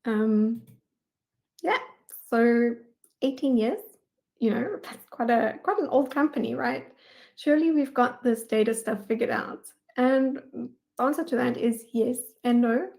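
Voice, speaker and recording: A woman's voice: fairly high pitch, a little slow, gravelly, darkish tone. A woman in her thirties with a South African accent gives a prepared webinar presentation in an informal, conversational way. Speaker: guest speaker, data engineering manager.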